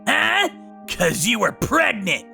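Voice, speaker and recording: A man voicing a cruel young male delinquent thug who sneers and shouts taunts in a manga dub. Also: extremely high pitch, big pitch swings, slow pace, creaky, faint music behind, full character voice. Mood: sneering.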